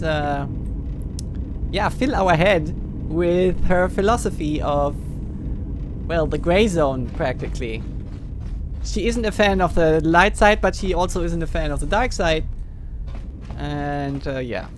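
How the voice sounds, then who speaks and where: Higher-voiced German man streaming a game. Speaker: livestreamer, game host. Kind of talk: casual live game commentary. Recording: faint game ambience behind.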